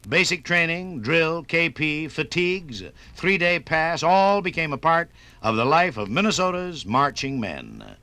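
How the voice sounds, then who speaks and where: A man's voice: fairly high pitch, sharp tone, clear, resonant tone, no echo. An American man narrating a 1950s radio documentary in a dramatic, emphatic style with clipped phrases. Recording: 1950s radio production from vinyl record.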